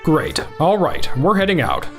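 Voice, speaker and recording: A blunt, dependable young office team lead, voiced by a man in a manga dub. His voice sits fairly high and swings up and down expressively, with soft music underneath. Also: wildly swooping pitch, measured pace, full character voice. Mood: brisk. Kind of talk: anime-style manga dub acting.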